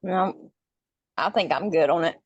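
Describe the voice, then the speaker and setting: Dull, lo-fi call audio carries a woman's slightly low voice. She chats casually as a fellow moderator in a discussion. There is little room sound around her. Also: measured pace, breathy edge, dry recording. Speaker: moderator and regular participant.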